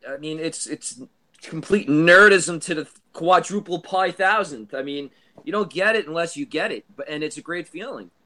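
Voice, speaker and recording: A man in his late thirties hosting a podcast, enthusiastic and halting with many restarts. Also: on the high side, pushed and bright. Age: 30s. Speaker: podcast host. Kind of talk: casual podcast chat.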